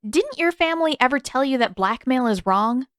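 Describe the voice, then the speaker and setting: A nosy young girl from a fantasy story, acted out by a woman reader, speaks in a raised, girlish pitch. She is cheeky and blunt, needling and persistent, with a sing-song teasing lilt. The sound is close and dry. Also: animated, normal speed, no echo. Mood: skeptical.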